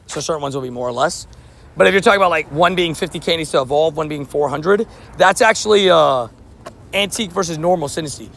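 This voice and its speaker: A man live-streaming outdoors, chatting casually with viewers and answering their chat messages, with a slightly hoarse voice. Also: a little high, fast talker, fairly bright tone. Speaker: livestream host. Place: outdoors by a street with traffic.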